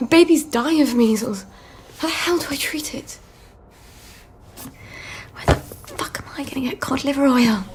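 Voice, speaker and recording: A woman's voice: high-pitched, measured pace, long pauses. An actress playing a harried, foul-mouthed young English mother who coos to her baby and mutters with worry in a game cutscene. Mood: anxious, panicking. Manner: cooing baby talk slipping into muttered worry. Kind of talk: video game cutscene acting. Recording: clear background noise.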